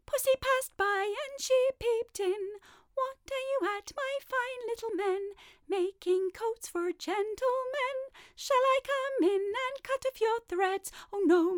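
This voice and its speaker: A chorus of tiny, cheeky mouse tailors chants rhymes in a piping, sing-song lilt, all put on by a woman reading a storybook. The voices sit very high and drawn out. They sound merry and a little saucy. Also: sharp tone, exaggerated voice.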